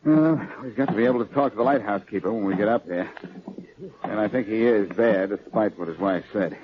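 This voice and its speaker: An actor playing a wisecracking, self-assured amateur detective with a dry bantering manner, in 1940s radio drama style. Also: male voice, fairly low pitch, a little fast, lightly acted. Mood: winded. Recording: muffled, noisy old radio recording.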